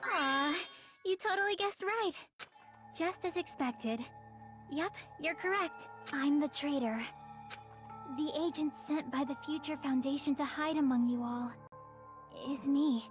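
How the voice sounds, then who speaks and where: A high, youthful female voice over clear background music, a gentle teenage girl in a video game. She speaks softly, with a calm, measured and pleading manner. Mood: resigned calm. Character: gentle, soft-spoken teenage girl revealing herself as traitor. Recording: music under the voice.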